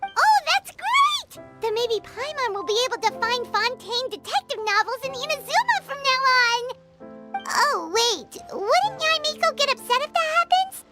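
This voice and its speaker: A woman voicing a tiny, excitable, childlike fairy companion in an animated video game. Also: a little slow, loud music behind the voice, heavily acted. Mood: bubbly. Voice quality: squeaky, childlike. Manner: speaks of self in third person, exuberant.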